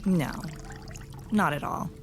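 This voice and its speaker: A woman playing a wise, hospitable and grave keeper of magical lore, telling things deliberately with old-fashioned phrasing in an audio drama. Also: a little hiss or hum, natural voice. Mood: welcoming.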